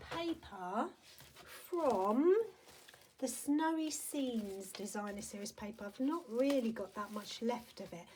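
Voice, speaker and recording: A woman with a croaky, hoarse voice giving a chatty, friendly craft-along tutorial at home, with lots of ums. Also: fairly high pitch, darkish tone, slight background noise. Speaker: paper craft demonstrator and product seller.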